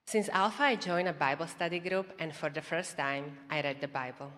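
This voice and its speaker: A woman's voice: low-pitched, a bit bright. A woman in her thirties from Slovakia with a Slovak accent, earnestly giving a prepared testimony to a church congregation. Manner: earnest, measured, reading a prepared script. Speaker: church member sharing testimony. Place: church auditorium. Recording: long reverb of a large hall.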